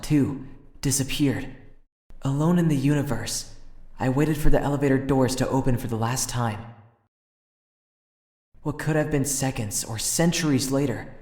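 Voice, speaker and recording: A man's voice: mid-range voice, close to an everyday voice. A man playing an earnest, anxious teenage boy, narrating dramatically in the first person for an anime fandub. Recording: roomy echo, loud music behind.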